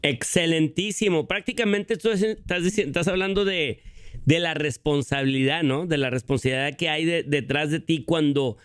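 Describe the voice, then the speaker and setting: Halting male radio host, dry sound. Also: fairly high pitch, smooth, no echo. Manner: halting, many repeated words and "este" fillers. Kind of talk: interviewing.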